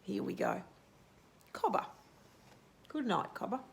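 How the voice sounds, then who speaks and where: Lady doing kids storytime, slow and singsongy, bit of creak, asks little questions as she reads. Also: medium pitch, sing-song. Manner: simple explaining, asking questions to young listeners. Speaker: children's storytime host. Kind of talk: storybook read-aloud with asides to young children.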